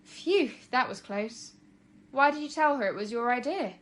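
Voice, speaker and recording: A woman's voice: on the high side, animated, moderate pace, voice pushed up, natural voice. A woman voicing a sheepish, evasive young boy in a children's story, speaking hesitantly. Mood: relieved. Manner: hesitant, stalling.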